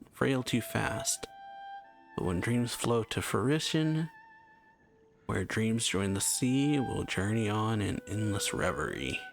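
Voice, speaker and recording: Man, casual gaming commentary, unhurried, vocal fry, low-key muttering, trailing off, clear music in background. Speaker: video game streamer reacting to story.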